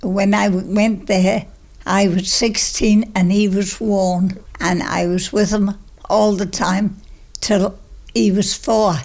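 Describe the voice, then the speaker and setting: A woman's voice: mid-range voice, little pitch movement, slow pace, gravelly, a little mellow. A woman of ninety, reminiscing conversationally in short, plain-spoken statements as she is interviewed.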